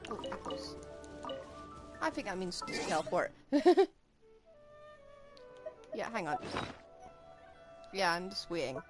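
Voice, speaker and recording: Animated woman streaming a game. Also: lowish voice, slow pace, pauses often. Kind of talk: casual live gameplay commentary. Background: game music.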